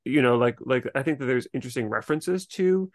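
A man with a mid-pitched voice co-hosts a casual book discussion. He thinks aloud in a halting way, and the sound is dry with no room on it. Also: animated, no room sound. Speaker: podcast co-host.

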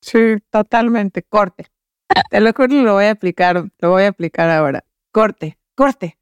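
A woman's voice: medium pitch, a little slow, clean tone, no room sound. A woman hosting a casual interview in an engaged, informal, affirming way. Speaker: podcast host, interviewer.

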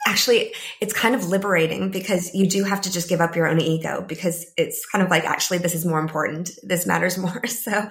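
A slightly croaky woman in her thirties speaks in a low-pitched voice. She talks as a podcast guest, pausing and reaching for words with easy fillers. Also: fairly even intonation, a bit bright. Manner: thoughtful, many "kind of" fillers. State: croaky, a bit ill. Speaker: podcast guest, climate psychologist. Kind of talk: casual interview talk.

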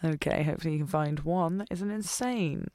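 A woman's voice: low voice, a little fast, darkish tone. A woman voice actor chatting casually and self-deprecatingly while testing her microphone levels.

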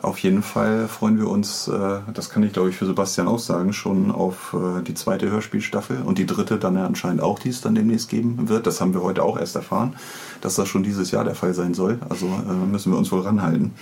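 A man's voice: sits low, fairly even intonation, brisk, gravelly, a little mellow. A man hosting a podcast, chatting casually and conversationally. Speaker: podcast host.

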